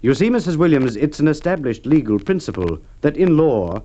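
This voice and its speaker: An actor playing a shrewd, polite police inspector in a Golden Age radio drama, formal, deliberate and insinuating. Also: male voice, fairly high pitch, sing-song, dark tone. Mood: insinuating, pointed. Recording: muffled, noisy old radio recording with clicks.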